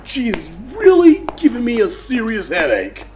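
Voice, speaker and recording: A woman's voice: a little high, huge pitch swings, normal speed, darkish tone. A woman playing a short-tempered, threatening robber boss, exasperated and bossy, in an audio drama.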